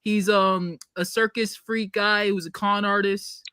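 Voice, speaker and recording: A woman's voice: medium pitch, steady tone, a little slow, a bit bright, clean tone, dry recording, studio quality. A woman chatting casually on a review podcast, explaining things in an emphatic, corrective way. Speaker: podcast panelist. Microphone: online group call.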